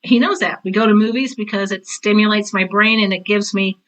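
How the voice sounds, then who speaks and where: Woman, 60s, forward bright tone, emphatic and chatty, interview guest telling anecdotes. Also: medium pitch, fairly even intonation, brisk, rough recording. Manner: chatty, emphatic, often quotes herself and others. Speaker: guest, veteran theater teacher and author. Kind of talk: animated conversational interview, anecdotes.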